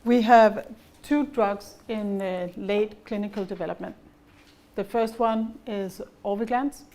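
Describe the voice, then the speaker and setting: A middle-aged woman from Denmark with a Danish accent, giving a measured, explanatory company presentation at an investor conference. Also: mid-range voice, fairly even intonation, a little mellow. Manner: measured and explanatory, with occasional fillers and restarts.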